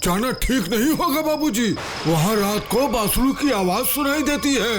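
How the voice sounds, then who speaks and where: Man doing a very high-pitched, wary village driver. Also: expressive, normal speed, over music. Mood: wary, ominous. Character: wary local driver warning of a haunted tree. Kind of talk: Hindi horror audio drama acting.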